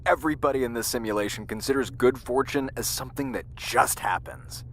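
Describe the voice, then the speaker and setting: An actor playing an embittered, world-weary scientist in an audio drama, intense and confiding in manner. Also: male voice, mid-range voice, a little fast, slight background noise, close to an everyday voice. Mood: impassioned, indignant. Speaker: actor in fiction podcast. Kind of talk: audio drama dialogue and narration.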